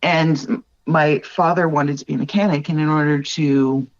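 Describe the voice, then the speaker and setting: A woman's voice: low-pitched, steady tone, normal speed, no room sound, lo-fi sound. A middle-aged woman from Northern Ontario, a wildlife artist speaking earnestly in a candid interview, often tagging on "like" and "right?". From Northern Ontario, Canada. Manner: earnest, reflective, lots of "like" and "right?". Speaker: wildlife artist, podcast guest.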